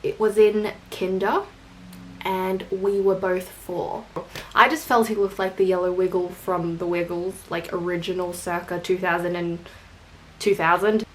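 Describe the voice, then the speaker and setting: A woman's voice: on the low side, little pitch movement, unhurried, a little hiss or hum, low-quality recording. A young woman with an Australian accent, chatting casually and rambling through questions for her vlog, with self-deprecating jokes. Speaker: vlogger. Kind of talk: casual tag video answering questions.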